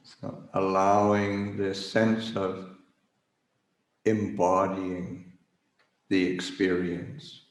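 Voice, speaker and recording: A man with a fairly low voice leads a guided meditation. He speaks extremely slowly, in short halting phrases with long pauses and searching hesitations. The recording is echoey and roomy. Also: flat delivery. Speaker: Buddhist monk leading a guided meditation. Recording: roomy, echoing livestream recording.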